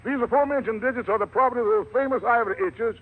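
A man's voice: very high-pitched, very fast. An American man hosting a 1940s radio show in showy, wordy jive patter full of rhymes and slang, on a muffled old broadcast recording.

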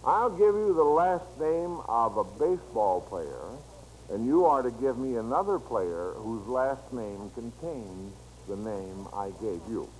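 A man's voice: mid-range voice, expressive, unhurried. A man with an American accent hosting an old-time radio quiz show, folksy and chatting to the listeners as friends. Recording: noisy vintage radio broadcast recording.

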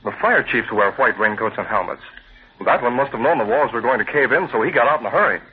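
A man playing a calm, shrewd investigator in charge in an old-time radio crime drama, measured, curious and authoritative. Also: medium pitch, lightly acted. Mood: measured, commanding. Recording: muffled, hissy vintage radio recording.

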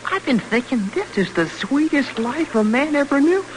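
An actor in an old-time radio drama playing a chatty, wistful young expedition cook with folksy, rustic speech. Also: male voice, very high-pitched, sing-song, moderate pace, slight background noise, poor audio. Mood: dreamy, chatty. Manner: folksy, rustic colloquial talk.

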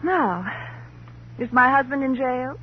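Jaded rich wife, high voice, sardonic, imperious, vintage radio drama, dull faintly noisy recording. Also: female voice, animated. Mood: sardonic, breezy. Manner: sardonic, brisk, dismissive. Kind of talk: 1940s-50s radio crime drama acting.

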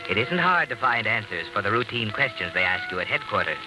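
A knowing, sardonic narrator needles his listener like a guilty conscience. The voice is slightly low, with dramatic music behind it, in vintage radio crime-drama style. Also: big pitch swings, a little fast, poor audio. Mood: sardonic, taunting. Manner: knowing, taunting rhetorical questions. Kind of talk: old-time radio drama narration in second person. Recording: old radio recording.

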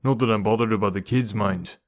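A man voicing a gruff, nonchalant hard man who mumbles, as an audiobook character. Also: lowish voice, fairly quick, natural voice.

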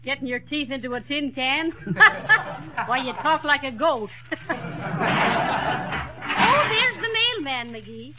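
An actress playing a wry, teasing middle-aged wife in a 1930s radio comedy, with dry jabs and sly laughs. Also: female voice, high-pitched, lively intonation, slow pace, long pauses, poor audio.

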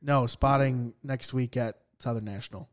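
Dry, deadpan man co-hosting. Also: mid-range voice, moderate pace. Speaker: podcast co-host. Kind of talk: casual chat.

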